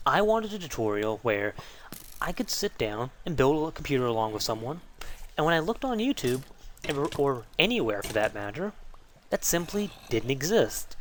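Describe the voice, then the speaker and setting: A man's voice: fairly high pitch, slight background noise. A young man giving a casual, rambling update to viewers, often restarting sentences and putting himself down. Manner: self-deprecating, rambling with false starts. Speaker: tutorial video creator.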